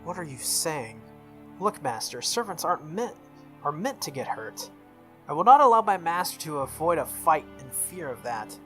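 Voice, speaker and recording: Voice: medium pitch, very animated, moderate pace, fairly bright tone, soft background music. A game streamer voicing a proud, stubborn young female warrior servant with formal, resolute speech in a visual novel.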